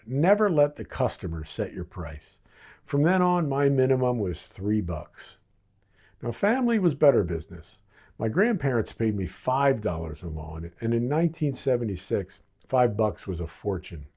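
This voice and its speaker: An American man in his sixties narrates a memoir in a warm, dark-toned voice at a medium pitch. His delivery is wry and theatrical, built on short, punchy phrases. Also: slightly rough. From Baltimore, United States. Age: 60s. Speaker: author reading own memoir.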